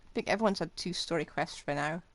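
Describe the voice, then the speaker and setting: A woman's voice: lowish voice, quick pace, no echo. A woman giving casual live commentary on a video game, with wry, informal asides. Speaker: game livestreamer. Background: game music.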